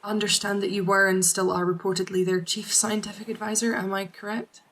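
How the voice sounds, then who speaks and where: A woman's voice: mid-range voice, flat delivery, fairly quick, a bit bright, natural voice. A woman acting a composed, polite task force officer in an audio drama, speaking formally and earnestly.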